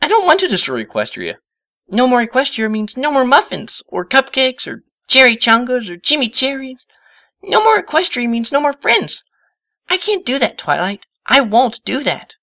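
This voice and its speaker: A man voicing a hyper, emotional young female cartoon pony with dramatic, excitable outbursts in a storybook reading. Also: very high-pitched, moderate pace, creaky, no room sound, clean studio sound, pitched above the performer's own voice, full character voice. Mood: distraught.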